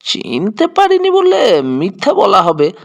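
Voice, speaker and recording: A man's voice: high voice, huge pitch swings, measured pace, creaky, voice pushed up, heavily acted. A man playing an evasive, haughty male suspect with a falsely genial, hesitant, stammering manner in a detective audio drama. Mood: hesitant, nervous. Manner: hesitant, stammering with filler sounds.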